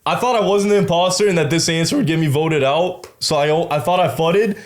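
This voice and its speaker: A man who grew up in Albania, joking and defending himself in casual banter with friends during a party guessing game. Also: fairly high pitch. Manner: joking, defensive, quick comebacks.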